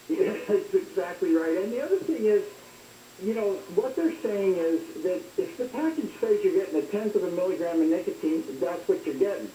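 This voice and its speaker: A man's voice: high voice, lively intonation, normal speed, dark tone. A man speaking as a doctor guest on talk radio, explaining things informally and repeating phrases as he goes. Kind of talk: conversational medical explainer. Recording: roomy, hissy, faint noise.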